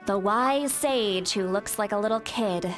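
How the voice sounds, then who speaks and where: A woman's voice: medium pitch, a little slow, faint music behind, close to an everyday voice. An actress playing a haughty, teasing, young-looking elder woman in a video game, with theatrical, sardonic phrasing.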